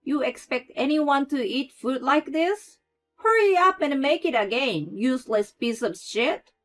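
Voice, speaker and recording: A nasty older mother-in-law shrieks high and loud, snapping out insults, as a woman narrator puts her on. Her voice climbs well above the teller's own and stays harsh and scolding. Faint music sits under the storybook reading. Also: lively intonation, takes it slowly.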